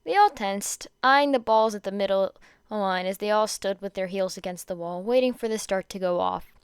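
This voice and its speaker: Female podfic narrator, smooth clean voice, dry close sound, stumbles and repeats phrases. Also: mid-range voice, moderate pace, dry recording. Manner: stumbles over words and rereads phrases. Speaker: podfic reader. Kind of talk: amateur fan fiction narration read aloud.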